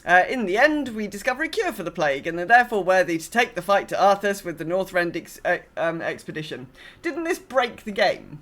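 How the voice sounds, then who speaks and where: A woman's voice: on the low side, sing-song, a little fast, a bit bright. A woman co-hosting a podcast, talking casually and a little wryly about a video game. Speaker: podcast co-host.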